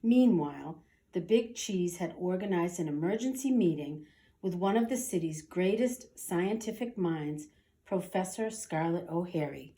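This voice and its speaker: Woman, storybook narrator, lively varied pitch, dramatic, deliberate delivery. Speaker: storybook reader. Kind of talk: expressive children's read-aloud narration.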